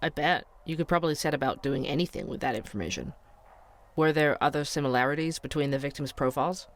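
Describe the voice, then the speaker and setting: A man playing an inquisitive true-crime podcast host, narrating in a measured, probing way. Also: fairly high pitch, a bit bright, slight background noise.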